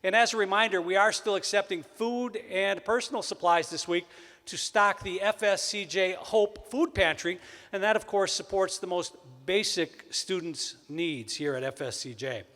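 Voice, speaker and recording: A man's voice: normal speed, a bit bright. A man from Wisconsin with a Wisconsin accent, emceeing a college convocation with upbeat announcements and jokes. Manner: upbeat, joking, booming sports-announcer intro. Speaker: event emcee. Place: large hall. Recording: long hall reverb.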